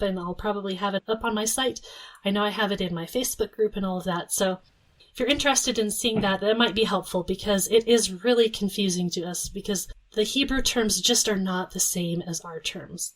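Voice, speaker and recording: A woman's voice: medium pitch, rough recording. A woman hosting a podcast, explaining theological terms patiently, step by step, in casual talk. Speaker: podcast host.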